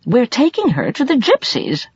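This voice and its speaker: Mature resolute lady character acted by a woman, audiobook, brisk, composed, husky, expressive pitch, slightly muffled sound. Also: no echo, full character voice. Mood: anxious, astonished.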